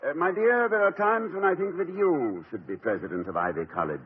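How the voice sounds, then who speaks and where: An urbane, witty college president. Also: male voice, on the high side, lively intonation, slightly rough. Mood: wry. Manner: polished, gently ironic, measured. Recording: dull lo-fi 1950 radio broadcast.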